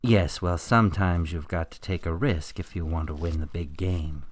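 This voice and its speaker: A man playing a smug criminal mastermind professor in a radio drama, coolly threatening and taunting in a deliberate, theatrical way. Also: low voice, steady tone. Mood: gloating, taunting.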